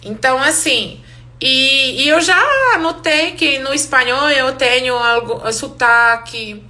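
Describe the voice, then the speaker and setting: Woman with a Hungarian accent, native Hungarian, fairly high bright voice, lively live-stream language teacher. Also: slow pace. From Romania. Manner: lively, switching between Portuguese and English, laughing often. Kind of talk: live online class.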